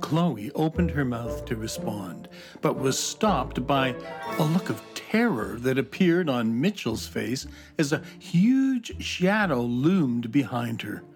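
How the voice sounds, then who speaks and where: A man narrating a scripted children's adventure story in a measured, dramatic storytelling manner. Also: mid-range voice, big pitch swings. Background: instrumental music.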